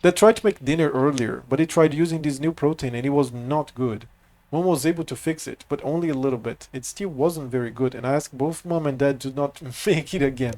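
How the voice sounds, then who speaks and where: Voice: mid-range voice, brisk, a little mellow. A game streamer giving casual playthrough commentary and reading in-game text aloud.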